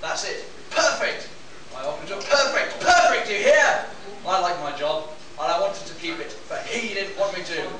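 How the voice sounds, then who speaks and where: A man's voice: high-pitched, expressive, normal speed. A man playing a hot-tempered, indignant bruiser of a pilot in an amateur radio play. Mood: aggrieved, impassioned. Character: hot-tempered big bruiser pilot, defensive, passionate about his flowers. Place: live stage performance at a convention. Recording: distant echoey room, noisy live recording.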